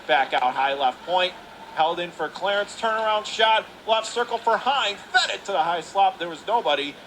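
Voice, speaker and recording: A man calling live hockey play-by-play on radio in clipped running phrases with excited calls. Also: sits high, moderate pace. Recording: noisy live rink recording.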